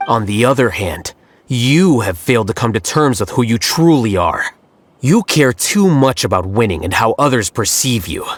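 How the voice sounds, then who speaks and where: A man voicing a cool, aloof young scholar who speaks in a calm, detached and analytical way. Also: medium pitch, moderate pace. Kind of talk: game voice acting.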